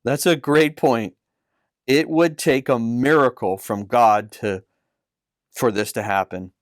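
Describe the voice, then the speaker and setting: A kindly, patient uncle voiced by a man reading a storybook explains things to children at a middling pitch. The sound is dry, with next to no room on it. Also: slow pace, dry recording, close to an everyday voice. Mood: patient, teasing. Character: kindly uncle telling Bible history to children.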